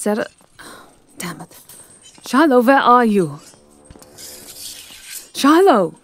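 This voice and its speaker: A woman performing scripted ASMR roleplay in a hushed, deliberate, theatrical manner. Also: a little high, sing-song.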